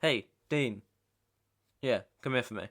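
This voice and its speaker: A man performs a young British crime heir in an intimate audio roleplay. He speaks at a slow, deliberate pace in a mid-range male voice. His manner is controlling and possessive, coaxing yet menacing. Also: mid-range voice, close to an everyday voice. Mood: curt, commanding. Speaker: ASMR roleplay voice actor. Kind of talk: ASMR roleplay, second-person dramatic acting.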